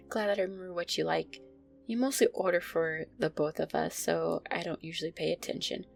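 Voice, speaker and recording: A woman playing a gentle, anxious, affectionate vampire girlfriend in an intimate roleplay audio, speaking softly, tenderly and hesitantly. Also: lowish voice, steady tone, normal speed, vocal fry, soft background music. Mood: caring, upbeat. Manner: soft, tender, hesitant, sighing delivery.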